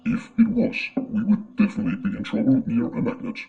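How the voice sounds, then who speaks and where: A man presenting a scripted science explainer, his voice run through a pitch-shifting voice changer. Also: sing-song, fairly quick, vocal fry, a little hiss or hum. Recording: muffled, lo-fi voice-changed audio.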